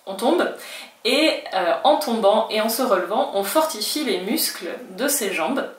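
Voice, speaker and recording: A woman's voice: mid-range voice, expressive, unhurried, reverberant, distant mic. Chatty French woman teaching Spanish. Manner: chatty, reassuring, acts out learners' worries in quotes. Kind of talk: talking-head language lesson.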